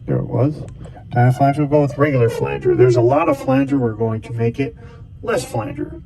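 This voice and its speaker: A man's voice: mid-range voice, expressive, brisk, creaky, mellow, a little hiss or hum. A man casually talking through a device setup step by step as a tutorial host. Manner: casual, talks through each step as he goes.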